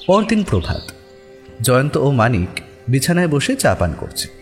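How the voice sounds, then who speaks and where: Casting: male story narrator, expressive scripted delivery, lively pitch movement, musical backing. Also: moderate pace. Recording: music behind the voice.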